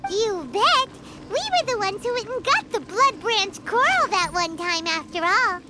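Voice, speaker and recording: A woman's voice: very high-pitched, big pitch swings, a little slow, noisy background, full character voice. A woman voicing a small, eager, childlike fairy companion in a video game, with music behind. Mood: upbeat. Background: game background music.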